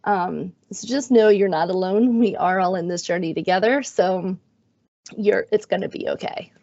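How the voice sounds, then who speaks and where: A middle-aged woman with a raspy, hoarse voice from being sick, presenting in a friendly, self-deprecating way on a video call. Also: medium pitch, normal speed, warm tone, dry recording. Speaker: guest presenter, magnet schools coordinator. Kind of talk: webinar presentation. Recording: dull, dry video-call audio.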